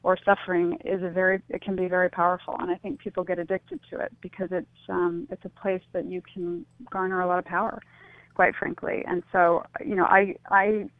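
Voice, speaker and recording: A woman's voice: on the low side, flat delivery, fairly quick, dry recording. A woman speaking as an interview guest over a phone line, conversational and reflective. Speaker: interview guest, healing advocate. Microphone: phone call. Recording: narrow, tinny phone line.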